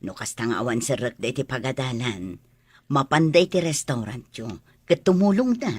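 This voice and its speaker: An actress with an Ilocano accent playing a devoted, proud middle-aged mother in a radio drama, with a husky voice. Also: rumbling low, lively intonation, normal speed, darkish tone, no echo, heavily acted. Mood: caring, resolute.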